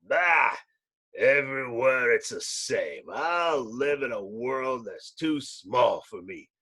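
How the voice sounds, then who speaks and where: A man voicing a huge, gruff, bitter and impatient monster of a comic-book hero. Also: mid-range voice, lively intonation, slow, pushed and bright, heavily acted.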